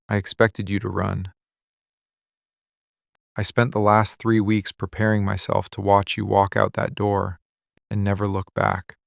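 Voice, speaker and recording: Low, gravelly, slow-speaking possessive mafia boss acted by a man. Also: dry recording, studio quality. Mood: intense, controlled. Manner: controlled, deliberate, intense, speaking in short measured statements. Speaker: voice actor. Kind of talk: boyfriend ASMR roleplay, intimate dramatic monologue. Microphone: close studio mic, dry.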